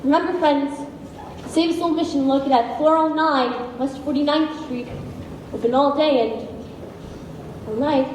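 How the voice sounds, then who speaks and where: A high-pitched, earnest woman preacher exhorts sinners in a declamatory stage style, acted by a young woman. The voice is far from the mic in a very echoey auditorium with clear noise around it. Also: steady tone, unhurried, pauses often, darkish tone. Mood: preaching, fervent. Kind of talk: school musical stage acting. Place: school stage, recorded from the audience. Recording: echoey noisy school auditorium from afar.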